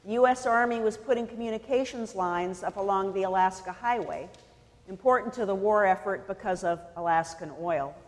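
A woman from California preaching a sermon in a measured, deliberate way, pausing for emphasis. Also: medium pitch, in a large hall. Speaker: pastor.

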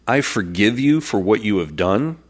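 A man with a fairly low voice, stuffed up and nasal as he fights off a cold. He co-hosts a casual faith chat, earnest but playful, and swings his pitch widely as he talks. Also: animated, moderate pace. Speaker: podcast co-host.

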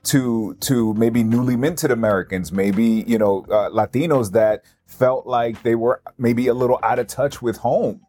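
A man's voice: mid-range voice, measured pace, hardly any pauses, warm tone. Male podcast host, mid-range voice, affirming interviewer. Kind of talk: casual interview.

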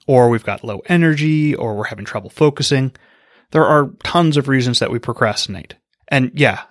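A man hosting a podcast, giving calm, explanatory answers from a script. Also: mid-range voice, no room sound, clean studio sound. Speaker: podcast host.

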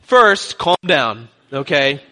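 Bright-voiced young Texan preacher. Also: male voice, fairly high pitch, sing-song, slow pace. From Texas. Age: young adult. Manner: joking, conversational preaching. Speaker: guest pastor. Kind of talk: sermon.

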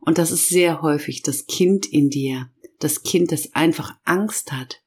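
A middle-aged woman hosts her own self-help podcast, and a cold has left her voice hoarse. She talks straight to the listener, slowing down to lean on each feeling she names. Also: low-pitched. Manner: slow, emphatic listing of feelings. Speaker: podcast host and inner-child coach. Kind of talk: warm guided podcast talk.

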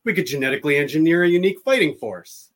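A man's voice: on the high side, animated, rapid-fire, natural voice. A man playing an earnest, argumentative debater in a radio play. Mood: assertive.

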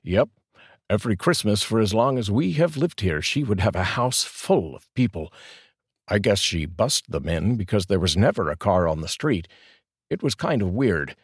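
A blunt, wry neighbor husband, as a male narrator voices him. His voice is deep and husky. It is recorded dry and close. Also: animated, moderate pace, dry recording.

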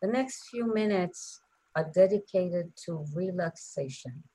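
A woman leading a guided meditation in a gentle, soothing manner, leaving long pauses between short phrases. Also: lowish voice, animated, low-quality recording.

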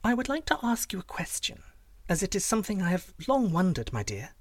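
A man's voice: high voice, huge pitch swings, brisk, no echo, pitched above the performer's own voice, exaggerated voice. A man voicing a bold, cunning princess in a storybook tale, speaking in an airy, coaxing, sweetly persuasive way.

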